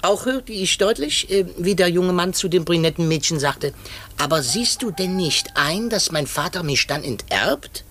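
A man's voice: sits high, expressive, moderate pace, fairly bright tone, husky, full character voice. A man playing a vain, courteous foreign detective in a 1950s German radio play, self-assured and fussy.

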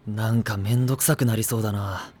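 An actor playing a level-headed, exasperated teenage schoolboy in an anime audio drama, dry and matter-of-fact. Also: male voice, lowish voice, fairly even intonation, fast, a little mellow, natural voice. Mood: weary, exasperated. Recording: prominent music behind the voice.

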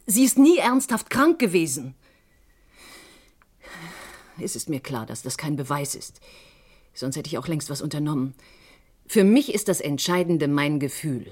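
Husky, low-voiced troubled woman. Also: animated, darkish tone. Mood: insistent, anguished. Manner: intense, deliberate, confiding. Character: troubled stage actress, grieving sister convinced of murder. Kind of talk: German radio crime drama acting.

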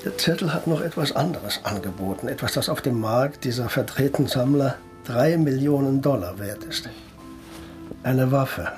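A man's voice: mid-range voice, a little mellow, lightly acted. An actor as a matter-of-fact male investigator in a radio crime play. He explains soberly and precisely, with faint music underneath. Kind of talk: radio drama dialogue.

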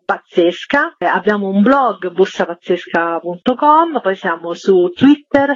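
A woman author being interviewed on the radio, talking in a lively, chatty way. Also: medium pitch. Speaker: author guest. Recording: dull dry radio studio sound.

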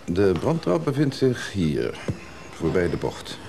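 A formal, courteous hotel receptionist in a radio drama. His deep voice moves with a lively lilt, over a clearly noisy recording. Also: takes it slowly, full character voice. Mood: helpful, formal. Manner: polite, formal.

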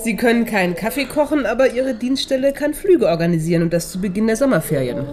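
An actress playing a quick-tongued woman amateur sleuth in a radio crime drama, blunt, teasing and ironic. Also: a little high, lively intonation, noisy background, lightly acted. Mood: sardonic.

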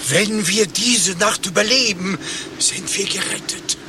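An actor playing a long-winded, doggedly optimistic former referee in a satirical German radio play, telling things in an emphatic, declamatory way. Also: male voice, sits high, measured pace, background noise. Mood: resolute.

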